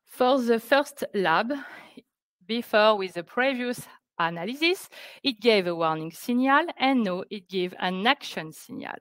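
A woman presenting scientific results on a webinar, speaking careful, halting English. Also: a little high, lively intonation, a bit bright, no echo. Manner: careful, halting non-native English delivery. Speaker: researcher presenting project results. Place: indoors on a video call.

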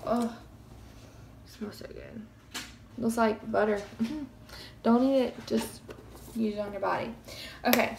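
A young woman, chatting nervously in a casual review vlog, her voice slightly scratchy from bronchitis, recorded close on a phone camera in a small bedroom. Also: a little high. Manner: chatty, nervous, keeps saying y'all. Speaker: vlogger.

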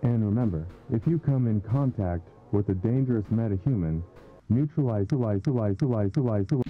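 Man, flat warning narration, low voice, tinny phone-like sound, faint music. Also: brisk. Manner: flat instructional delivery. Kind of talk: scripted narration. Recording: tinny phone-like broadcast audio.

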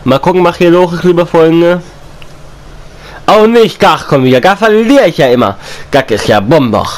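A young man with a slight cold, chatting casually as he plays and comments on a console game, repeating and rhyming his lines and breaking into excited outbursts. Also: fairly high pitch, moderate pace. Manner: excited outbursts while playing. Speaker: gaming YouTuber.

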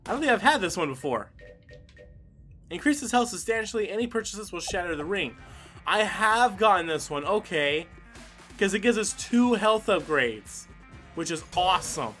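A high-voiced man hosts a gameplay video with casual, excited commentary. His delivery is bright and swings up and down, full of exclamations and swearing aimed at himself and the game. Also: moderate pace. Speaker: gaming video host. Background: game music.

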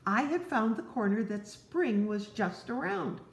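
A woman voicing a calm, friendly little male frog from a storybook, speaking gently. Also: on the high side, unhurried, creaky, voice pushed up. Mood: cheerful. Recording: roomy echo.

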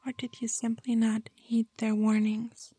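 Actress as menacing young gothic sister guarding a manor. Hushed, dark-toned, breathy whisper, taunting ASMR horror. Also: female voice, on the high side, monotone, unhurried, dry recording. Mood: taunting, sinister.

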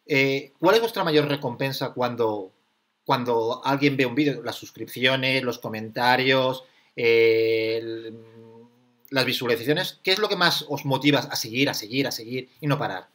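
Relaxed male interviewer from Catalonia. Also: mid-range voice, unhurried. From Catalonia, Spain. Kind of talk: casual video-call interview.

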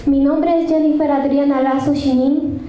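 A woman's voice: high voice, steady tone, normal speed. A woman of twenty-two with an Ecuadorian accent, formally introducing herself as a pageant contestant. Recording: dull echoey hall, faint noise.

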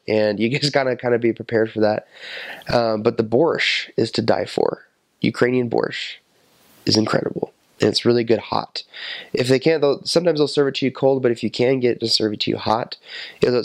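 Animated young man with a lapel mic. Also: medium pitch, gravelly, no echo. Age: 20s. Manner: animated, talks with his hands, many fillers. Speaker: returned missionary. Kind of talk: casual interview answers. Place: indoor office with window.

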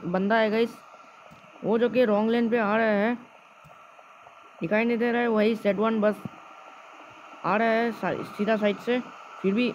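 A man with an Indian accent giving casual live gameplay commentary as a video host, often repeating phrases and mixing in English words. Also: high voice. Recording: noisy amateur screen recording with ambient sound.

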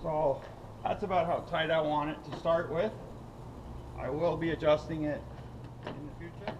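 A man's voice: on the high side, steady tone, moderate pace, dull audio. A middle-aged man casually explaining a kayak fitting while he works outdoors, thinking aloud as he goes. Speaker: kayak fishing vlogger. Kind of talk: casual how-to narration. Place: outdoors in a gravel storage yard. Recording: loud outdoor noise from a camera mic.

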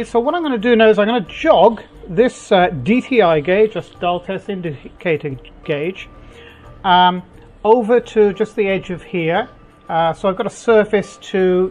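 Older male workshop host, slow halting technical explainer, faint music bed. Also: high-pitched, a little mellow. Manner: slow, halting, with many fillers and restarts. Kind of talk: unscripted technical walkthrough. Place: home workshop, indoors.

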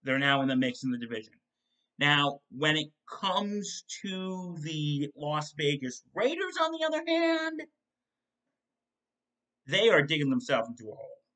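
A young man hosting a solo football postgame recap from his home kitchen, reading stat lines in quick lists and correcting himself as he goes. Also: fairly high pitch, big pitch swings, bright, forceful tone. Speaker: sports show host. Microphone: desk mic in front of a webcam.

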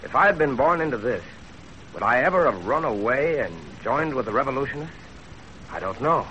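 A blunt, lively young peasant rebel. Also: male voice, medium pitch, a little fast. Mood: thoughtful. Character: blunt young peasant-born male revolutionary leader, proud, wondering. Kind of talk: 1930s radio drama acting. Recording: muffled, faintly noisy 1930s radio broadcast.

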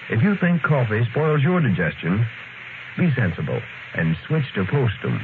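An American man announcing in polished, formal vintage radio style, reading a scripted script as the programme's presenter. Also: medium pitch. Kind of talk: 1930s-40s radio announcing, scripted ad and narration. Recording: old radio broadcast, narrow band, noisy.

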